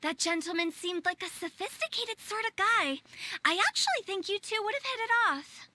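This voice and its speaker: A woman's voice: extremely high pitch, expressive, moderate pace, pushed and bright, a little hiss or hum, full character voice. A woman voicing a playful, self-assured young woman with a breezy, teasing manner in a video game. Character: playful, self-assured young female funeral parlor director. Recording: music behind the voice.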